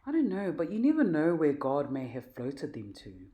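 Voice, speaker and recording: A woman's voice: deep voice, huge pitch swings, normal speed, narrow, tinny audio. A woman voicing a friendly, patient father who explains things in a storybook. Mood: easygoing.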